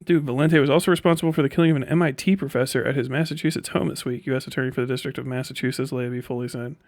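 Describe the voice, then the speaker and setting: A man's voice: medium pitch, steady tone, racing, vocal fry, darkish tone, no echo, studio quality. A man chatting casually as a live streamer, rambling and sardonic, reading things aloud and swearing offhandedly.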